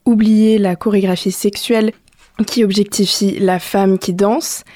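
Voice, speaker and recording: A woman hosting a community radio show, first reflecting in a calm, measured voice on her own experience, then interviewing a guest in the studio. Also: mid-range voice.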